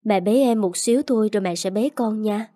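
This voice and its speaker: A woman voicing a gentle young working mother who speaks politely and tenderly in an audio story. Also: medium pitch, fairly quick, no echo, clean studio sound, natural voice.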